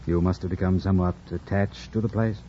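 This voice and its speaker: A man playing a brooding, commanding, passionate gentleman in an old-time radio drama, with theatrical, imperious delivery and a husky voice. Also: deep voice, fairly even intonation, fast, slight background noise, natural voice. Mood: musing. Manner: theatrical, imperious, swinging from hushed to forceful. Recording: dull, lo-fi old radio recording.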